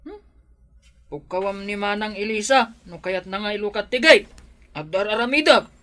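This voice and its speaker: Bashful, simple-minded manservant. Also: male voice, high voice, animated, moderate pace, bright, forceful tone. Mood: stubborn, puzzled. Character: shy, simple-minded, good-hearted male servant. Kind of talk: Filipino radio drama acting.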